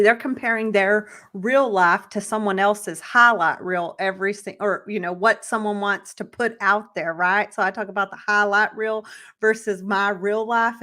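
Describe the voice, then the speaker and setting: A woman in her thirties hosting an interview, speaking in a reflective, steady and articulate way. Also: mid-range voice. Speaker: host. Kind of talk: conversational interview.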